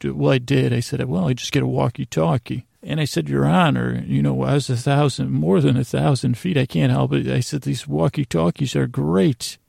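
A man hosting a podcast in a rambling, lighthearted bedtime monologue, halting and drifting into absurd tangents, with a gravelly, creaky voice. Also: medium pitch, a little mellow, no room sound, studio quality. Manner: meandering, halting, with trailing tangents. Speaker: podcast host. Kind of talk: rambling bedtime monologue, meant to lull listeners to sleep.

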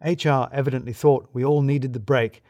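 A man reads a first-person audio story at a middle pitch. His telling is wry and expressive, and the recording is close and dry with almost no room sound. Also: normal speed, dry recording. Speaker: narrator.

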